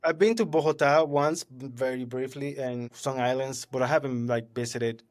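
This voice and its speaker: Venezuelan guy on a podcast, lively. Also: mid-range voice, moderate pace. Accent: Venezuelan Spanish. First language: Spanish. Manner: animated, laughs often, swears casually. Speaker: podcast host. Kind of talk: casual podcast chat.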